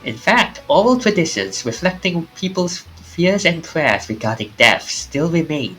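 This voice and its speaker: Streamer doing a mysterious storyteller, courteous, formal, theatrical, lively pitch. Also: a little slow, soft background music, low-quality recording. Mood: solemn. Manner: formal, theatrical delivery with small stumbles. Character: mysterious, courteous narrator of ghostly tales. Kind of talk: reading visual novel dialogue aloud.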